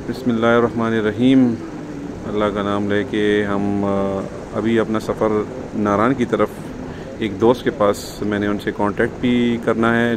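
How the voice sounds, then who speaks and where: Low-voiced Pakistani man vlogging in loud noise. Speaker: motorcycle travel vlogger. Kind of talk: casual vlog commentary. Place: outdoors on a mountain pass, partly riding a motorbike. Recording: noisy outdoor recording with wind and vehicle noise.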